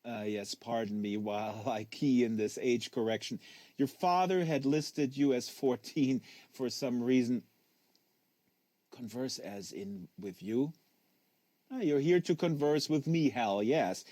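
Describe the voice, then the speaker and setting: A man's voice: medium pitch, dark tone, no room sound, natural voice. A man as a mannered, unctuous professional conversationalist. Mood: insistent. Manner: formal, deliberate, mannered. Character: oddly formal, insistent male professional conversationalist, probing and unctuous.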